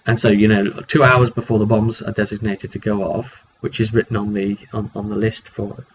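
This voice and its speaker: A man casually telling the story of a tabletop roleplay session as game master, in an animated way with repetitions and slang. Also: lowish voice, moderate pace. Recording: dull lo-fi mic with background noise.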